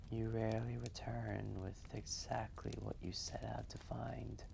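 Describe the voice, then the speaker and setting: A man voicing an ancient, gentle, wistful dragon telling a fireside roleplay story in a soft, breathy, measured and gravelly voice. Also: lowish voice, flat delivery, background noise. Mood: tender, wistful. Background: quiet fireplace ambience.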